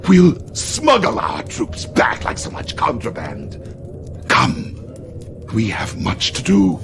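Theatrical loyal aide, swooping voice. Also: male voice, lowish voice, wildly swooping pitch, slow, creaky, background noise, full character voice. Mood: excited. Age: middle-aged. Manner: dramatic, deferential. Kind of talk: video game cutscene acting.

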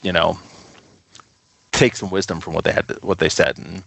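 A man's voice: low-pitched, fairly even intonation, normal speed, vocal fry, a bit bright, breathy edge, no echo, rough recording. A man speaking casually as a guest in an interview, talking about running a small record label.

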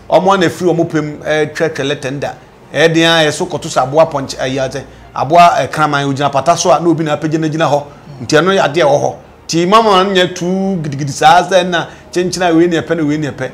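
Man, Ghanaian accent, notably high voice, political commentator, emphatic, repetitive, questioning. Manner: emphatic, questioning, repeats phrases. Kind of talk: animated political discussion, switching between languages. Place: indoor studio set.

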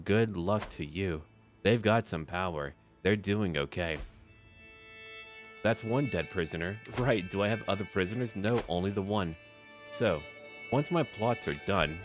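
A man with a deep voice talks through a game as he plays, with faint music underneath. He speaks casually into a close mic, thinking aloud in short, clipped remarks and slipping lightly into roleplay. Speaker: host of a gameplay series. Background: background music.

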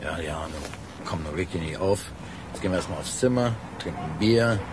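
A man's voice: low-pitched, animated, fairly quick, noisy background. An actor playing a plain-spoken, defensive working man who talks bluntly and colloquially in a radio play. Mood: blunt, weary.

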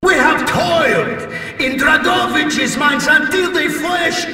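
An actor voicing a fierce Russian soldier prisoner leading a revolt in a video game, with a gravelly voice and emphatic, rousing delivery. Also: male voice, extremely high pitch, takes it slowly, sharp tone, very echoey, big-room sound, distant mic, full character voice. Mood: rousing, defiant.

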